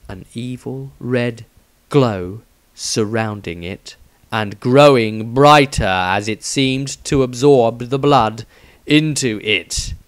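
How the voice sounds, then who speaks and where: A man's voice: medium pitch, slow. A man reading a scripted fantasy adventure aloud as a dramatic storyteller.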